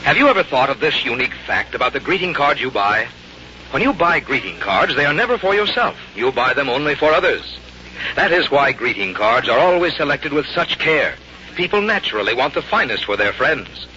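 A man announcing and reading scripted advertising in 1950s radio style, polished and deliberate. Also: mid-range voice, big pitch swings, bright, forceful tone. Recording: muffled old radio broadcast, faint noise.

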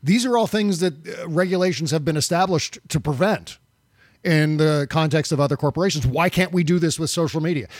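A man's voice: a little high, no room sound, studio quality. A middle-aged man hosting a podcast, talking casually about politics with frequent fillers and swearing. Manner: conversational, profane, many fillers. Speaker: podcast host.

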